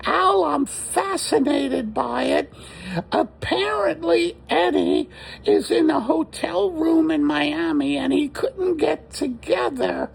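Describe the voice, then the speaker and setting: A man's voice: very high-pitched, vocal fry, exaggerated voice, rough recording. A man voicing an earnest, chatty woman who gossips and explains things.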